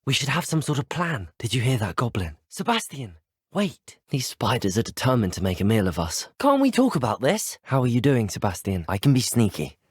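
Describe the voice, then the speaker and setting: A man voicing a polite, earnest British student wizard in a video game, speaking in an articulate, measured way. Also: medium pitch, huge pitch swings, slightly rough, no room sound, studio quality. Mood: urgent, then friendly, polite. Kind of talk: video game character dialogue.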